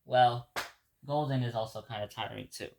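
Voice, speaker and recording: A man from Ecuador whose first language is Spanish talks through his day in a loose, rambling video diary. He repeats words and restarts his sentences as he goes. His voice sits at an ordinary middle pitch for a man. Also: steady tone, moderate pace, gravelly, a little mellow, a little hiss or hum. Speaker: vlogger. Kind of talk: casual spoken reflection to viewers.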